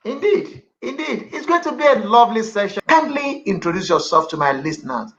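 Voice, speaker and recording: A man's voice: high voice, very animated, brisk, fairly bright tone, dull audio. A man hosting a live radio show from a studio, greeting listeners in a ceremonious, expansive way. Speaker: radio host.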